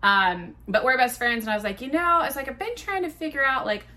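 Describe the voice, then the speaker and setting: A woman in her thirties hosts her own podcast with a big, bright, forward voice. She talks in a loose, chatty way, full of quoted back-and-forth and casual swearing. Her tone stays self-mocking and frank. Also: sits high, very animated, a little fast. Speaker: podcast host. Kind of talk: casual solo podcast storytelling.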